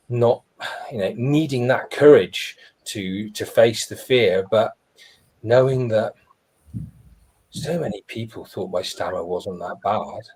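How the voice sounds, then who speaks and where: Husky-voiced man, reflective. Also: lowish voice, darkish tone, low-quality recording. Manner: reflective, earnest, with pauses and restarts. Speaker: webinar panelist. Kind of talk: personal storytelling on a live webinar.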